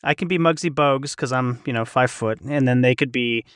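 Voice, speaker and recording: A man's voice: medium pitch, fairly quick, smooth, dry recording. A man hosting a casual chat in a playful, joking, nerdy manner, recorded on a close mic. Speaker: host. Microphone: close studio mic on a video call.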